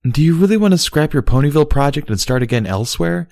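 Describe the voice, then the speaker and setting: A man voicing a female immortal pony princess, a formal and dutiful younger sister who argues in measured, stilted diction in a storybook reading. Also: a little high, lively intonation, gravelly, darkish tone, no room sound, clean studio sound, pitched above the performer's own voice. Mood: arguing.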